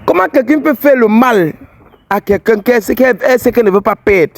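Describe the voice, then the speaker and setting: Blunt high-voiced man hosting a street vlog. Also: animated, a little fast, creaky, dry recording. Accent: English-speaking Cameroonian. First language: English. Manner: blunt, scolding, teasing. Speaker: fidelity tester vlogger host.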